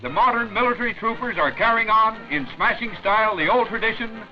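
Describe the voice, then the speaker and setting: High-pitched 1940s newsreel man. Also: a little fast. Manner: jaunty, declamatory showman delivery. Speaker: newsreel narrator. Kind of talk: 1940s newsreel narration, scripted. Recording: dull vintage 1940s newsreel soundtrack. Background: music.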